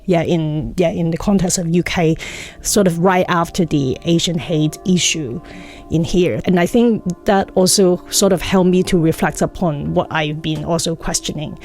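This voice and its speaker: A woman's voice: fairly low pitch, normal speed, darkish tone, soft background music. A middle-aged woman from Hong Kong with a Hong Kong accent, reflecting as an interview guest and searching for her words. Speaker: guest, performance artist.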